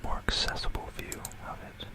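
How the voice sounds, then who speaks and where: An ASMR host whispering an educational history talk in an unhurried, explanatory manner, close to a stereo microphone. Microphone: close stereo binaural microphone.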